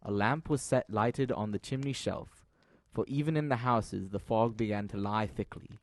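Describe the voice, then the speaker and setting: Low-voiced male audio drama narrator. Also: normal speed, no echo. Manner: formal, literary delivery.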